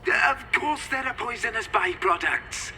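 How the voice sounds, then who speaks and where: An actor playing a curt, suspicious doctor in charge in a video game. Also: male voice, very high voice, animated, normal speed, sharp tone, slight background noise, exaggerated voice. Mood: impatient, suspicious. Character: curt, impatient, suspicious male doctor boss. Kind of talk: video game character acting.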